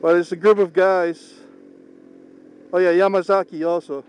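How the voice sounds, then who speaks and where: A young man whose first language is Tagalog, talking casually in a slow, halting way while driving. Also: sits high, little pitch movement, smooth, poor audio. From Philippines. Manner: slow, unhurried, halting with pauses. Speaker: vlogger. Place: inside a moving car. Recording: faint car engine hum. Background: car engine and road noise.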